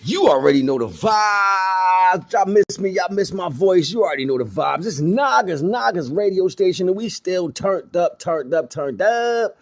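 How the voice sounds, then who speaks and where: A man's voice: sits high, animated, unhurried, few pauses. A man talking casually and slangily as a radio host and promoter, hyping things up with repeated catchphrases. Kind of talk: casual hype promo talk. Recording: clear background noise.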